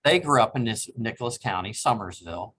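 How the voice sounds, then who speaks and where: A man's voice: lowish voice, expressive, brisk, fairly bright tone, breathy edge. A man in his sixties from Cincinnati, Ohio, a reference librarian, telling his family history in a polite, reflective way with frequent ums. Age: 60s. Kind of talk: oral history interview.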